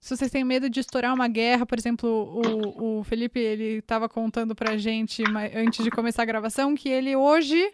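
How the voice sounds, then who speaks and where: A woman's voice: fairly high pitch, fairly even intonation, brisk, hardly any pauses, a little hiss or hum. A woman hosting a podcast in a friendly way, giving context and asking her guests questions. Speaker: podcast host.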